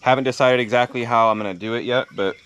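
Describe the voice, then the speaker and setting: A man's voice: medium pitch, fairly even intonation, quick pace, fairly bright tone, clear, resonant tone, dull audio. A man in his thirties casually vlogging outdoors by a chicken coop, explaining his plans to the camera and his son. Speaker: homesteading vlogger. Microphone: handheld camera at arm's length.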